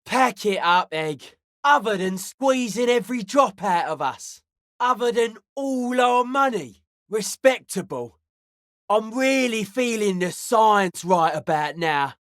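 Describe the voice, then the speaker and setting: A young counterculture club kid for a video game. He talks slowly in a slangy, deadpan drawl, earnest and easily spooked. The sound is dry, with no room around it. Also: sits high, no room sound, heavily acted. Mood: laid-back, deadpan.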